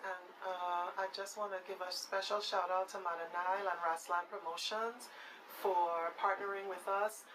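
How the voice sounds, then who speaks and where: A woman's voice: lowish voice, steady tone, normal speed, fairly bright tone, distant mic, low-quality recording. A woman speaking as a guest in casual live radio conversation. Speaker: radio guest, women's coalition communications worker. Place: radio studio, sharing a microphone. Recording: off-mic, clear background noise.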